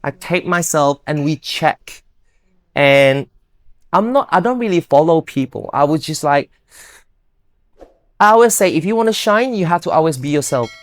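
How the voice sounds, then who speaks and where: A woman's voice: sits low, animated, moderate pace, long pauses, no room sound. Upbeat Indonesian-accented woman, dry sound. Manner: upbeat, earnest, non-native grammar. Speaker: guest, bodybuilder. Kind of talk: casual interview chat.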